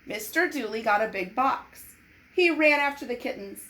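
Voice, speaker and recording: A woman reading a children's storybook aloud in an expressive, deliberate, dramatized way. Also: on the high side. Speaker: storybook reader. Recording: noticeable background noise.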